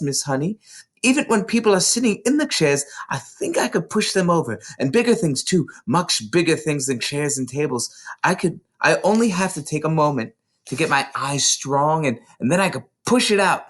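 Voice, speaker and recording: A man voicing an excited, eager, precocious small schoolgirl in a storybook reading, gushing with breathless run-on eagerness. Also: on the high side, voice pushed up. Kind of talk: children's storybook read aloud.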